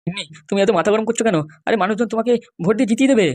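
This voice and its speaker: A woman's voice: on the high side, rapid-fire, darkish tone, no echo, lightly acted. A woman voicing a meek, henpecked, ailing husband in a comedy cartoon, pleading and whining with his wife. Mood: placating. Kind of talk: amateur comedy cartoon dialogue.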